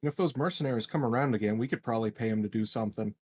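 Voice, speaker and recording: A man's voice: lowish voice, rapid-fire, a little mellow, husky, dry recording. A man chatting casually as a player in a tabletop game, joking and loose with his language. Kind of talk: casual chat.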